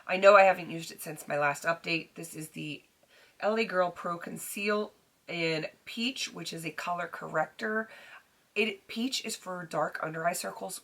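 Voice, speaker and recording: A middle-aged woman with a raspy, slightly hoarse voice, chatting casually to camera about beauty products, with frequent ums and restarts. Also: lowish voice, fairly bright tone. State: recovering hoarse voice. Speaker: beauty vlogger.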